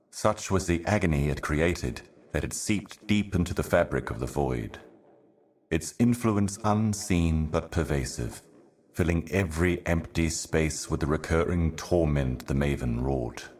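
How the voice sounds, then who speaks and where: An actor playing an ominous, ancient otherworldly herald in a video game, speaking in solemn, cryptic, deliberate monologue. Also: male voice, very deep voice, darkish tone, slight background noise. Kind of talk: scripted video game voice acting, slow monologue.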